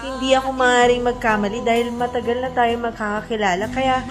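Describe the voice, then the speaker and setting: Actress as high-voiced, earnest young best friend. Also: female voice, steady tone, brisk, lightly acted. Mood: earnest, concerned. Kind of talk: radio drama acting. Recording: music behind the voice. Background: background music.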